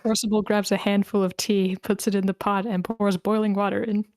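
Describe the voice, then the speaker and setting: Woman, medium pitch, stage-direction narrator, screenplay table read, dry close recording. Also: flat delivery, fairly quick, a little mellow, no echo. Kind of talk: reading screenplay aloud.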